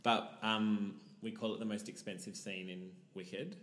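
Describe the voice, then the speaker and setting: Aussie theatre guy chatting, lowish voice, bit of room echo, playful and quick to laugh. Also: fairly even intonation, fairly bright tone. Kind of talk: casual chat. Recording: roomy echo.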